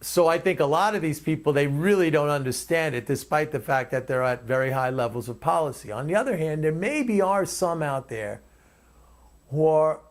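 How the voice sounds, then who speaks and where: A man's voice: on the high side, normal speed. A man hosting his own commentary, speaking off the cuff in an emphatic, opinionated way. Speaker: commentator and host.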